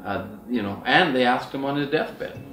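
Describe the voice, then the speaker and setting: A man's voice: mid-range voice, expressive, normal speed, a bit bright, slight background noise. A middle-aged man talking casually and earnestly in a rambling, conversational storytelling way. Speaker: outdoor outfitter and Sasquatch researcher. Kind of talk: casual rambling anecdotal talk. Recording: roomy echo, distant mic, faint music.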